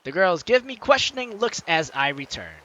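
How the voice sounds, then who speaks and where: A man's voice: sits high, big pitch swings, normal speed, sharp tone, low-quality recording. A man hosting a game playthrough, reading the text aloud and breaking off into playful, teasing, exclamatory commentary for his audience. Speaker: let's-play host.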